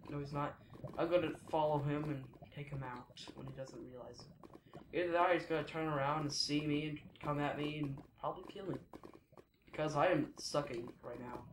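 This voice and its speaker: A teenage boy giving rambling, chatty commentary while playing a video game, often correcting himself mid-sentence. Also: on the high side. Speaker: gaming YouTuber. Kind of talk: casual Let's Play commentary. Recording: echoey room with noise behind.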